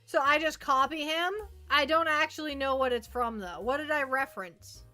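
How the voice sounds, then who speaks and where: A woman's voice: high-pitched, normal speed, bright, forceful tone, faint music behind. A woman chatting casually to viewers as a livestream host, rambling playfully and often repeating her phrases.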